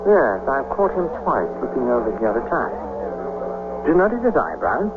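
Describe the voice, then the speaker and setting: An actor playing a nervous, suspicious gentleman in a radio drama, hesitant and fussy. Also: mid-range voice, sing-song, moderate pace, heavy background noise, close to an everyday voice. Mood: nervous, conspiratorial. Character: nervous, suspicious gentleman whispering worries to his companion. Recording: tinny, humming old radio recording.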